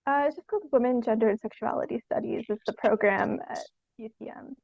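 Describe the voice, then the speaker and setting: A young woman speaks in a fairly high voice, feeling her way through interview answers. She is thoughtful and hesitant, peppering sentences with "like". The recording is very dry and slightly phone-like, with a thin band. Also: fast talker, gravelly, no room sound. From Canada. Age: young adult. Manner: hesitant, thoughtful, frequent "like" as filler. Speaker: podcast guest, theatre artist.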